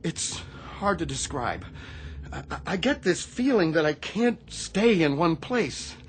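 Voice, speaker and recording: An actor, a man, playing a troubled, haunted Navy chief in a radio drama, anxious and halting. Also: a little high, expressive, a little hiss or hum. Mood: uneasy, haunted. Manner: anxious, halting, repeats himself. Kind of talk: radio theatre acting.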